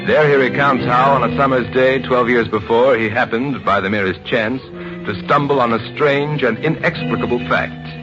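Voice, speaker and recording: A man giving grave, dramatic scripted narration in a suspenseful 1950s radio style, with deliberate emphasis. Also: mid-range voice. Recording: muffled vintage radio recording. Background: music.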